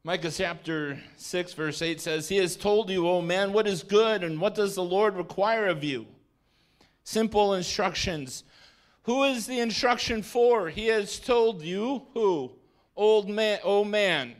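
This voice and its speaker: A man's voice: sits high, unhurried. A man preaching a sermon to a congregation in a plain-spoken, conversational way, using short, simple phrases. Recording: roomy echo, distant mic, faint noise.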